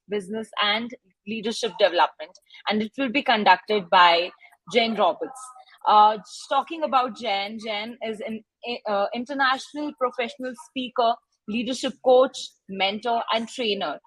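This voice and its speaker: Husky-voiced woman moderating a session. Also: fairly high pitch, a bit bright, no room sound, poor audio. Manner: polite, reads the bio with fillers. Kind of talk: formal introduction.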